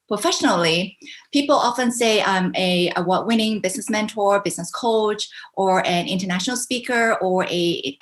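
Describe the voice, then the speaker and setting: A woman's voice: medium pitch, a bit bright. An enthusiastic middle-aged woman, born in Hong Kong and raised in Canada, talks casually about her work as a business coach. She laughs easily and speaks over a video call. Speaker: podcast guest, business coach. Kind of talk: casual interview chat.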